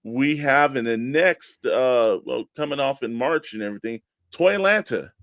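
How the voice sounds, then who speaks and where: A man's voice: medium pitch, animated, moderate pace, fairly bright tone, no echo. An American man co-hosting a toy collecting show in casual, informal conversation with spoken emphasis. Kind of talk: casual radio-show chat.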